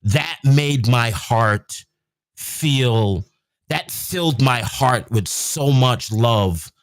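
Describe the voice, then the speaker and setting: A man's voice: mid-range voice, lively intonation, drawn-out words, no room sound. Young English male host, laid-back conversational storyteller, dry room. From England. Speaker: podcast host. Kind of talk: casual chat.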